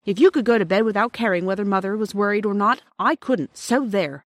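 A hot-tempered Edwardian schoolboy acted out by a woman, scornful and argumentative, full of period slang. The storybook voice is pushed higher and sounds dry and close. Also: a little fast, no room sound, close to an everyday voice. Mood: angry, scornful.

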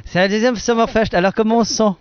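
A man's voice: high-pitched, steady tone, fast talker, lo-fi sound. A man roaming an outdoor wine festival, playfully teasing people as he interviews them. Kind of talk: casual street interviews.

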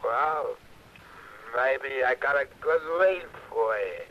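An actor with an American accent playing a halting, eager, streetwise informant with a husky voice in a 1960s radio drama. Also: male voice, medium pitch, lively intonation, a little hiss or hum. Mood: hesitant. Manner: hesitant, rambling, colloquial streetwise speech. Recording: muffled old radio broadcast recording.

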